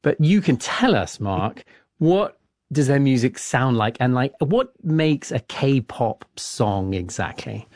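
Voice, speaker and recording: A man's voice: mid-range voice, big pitch swings, takes it slowly, a little mellow, no echo. A male host with a medium voice asks easygoing, curious questions in a dry, close recording. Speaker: podcast host. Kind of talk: casual interviewing.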